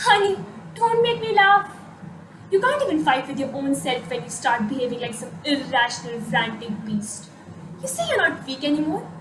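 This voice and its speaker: Woman acting out a mocking, jeering inner voice, very high. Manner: mocking, sarcastic, taunting. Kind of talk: dramatic spoken word performance. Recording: echoey room, distant mic, noisy.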